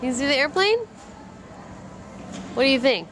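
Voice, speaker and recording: A young mother talks slowly to the camera, then jumps into a high, sing-song baby-talk voice for her toddler. Her pitch swings widely, and the handheld camera mic catches loud airport noise and announcements behind her. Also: very animated. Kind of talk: casual home video narration. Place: busy airport gate area, indoors.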